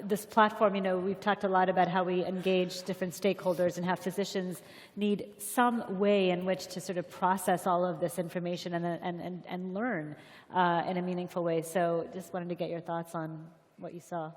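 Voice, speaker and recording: A woman's voice: fairly low pitch, level tone, moderate pace. A woman moderating a conference panel in a conversational, somewhat hesitant way. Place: conference hall stage. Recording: reverberant hall, faint audience noise.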